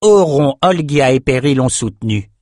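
A man's voice: a little high, expressive, moderate pace, no echo, clean studio sound, voice pushed up, close to an everyday voice. A man voicing a proud, sardonic female warrior scout in a fantasy audiobook. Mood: disgruntled.

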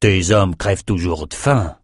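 Brief: man reading gloating, brutal raider king, low slow drawl. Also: slightly rough, dry recording, studio quality. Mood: menacing.

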